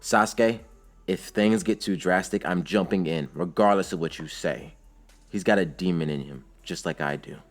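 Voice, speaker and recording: A man's voice: deep voice, normal speed, lightly acted. Man playing loyal young male ninja, earnest, hot-headed, emphatic, anime story, faint music behind. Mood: earnest resolve.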